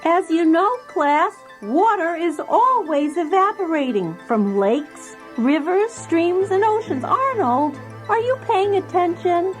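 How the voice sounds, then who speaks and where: Very high-pitched, sing-song lady teacher. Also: lively intonation, slow, hardly any pauses, faint music behind, heavily acted. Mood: brightly instructive. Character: eccentric, cheerful woman science teacher leading her class. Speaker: teacher character. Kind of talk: children's read-along audio acting.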